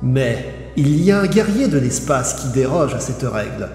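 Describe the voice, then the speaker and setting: A man's voice: mid-range voice, big pitch swings, normal speed, darkish tone. A man reading a scripted video essay in a playful, theatrical storytelling manner. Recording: voice-over with music behind. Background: background music.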